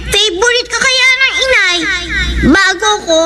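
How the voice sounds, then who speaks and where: A woman's voice: extremely high pitch, measured pace. An actress playing a jealous, whiny little schoolgirl in a Filipino radio drama, with a Filipino accent. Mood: jealous sulking. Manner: whiny, sulky. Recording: very noisy radio drama recording.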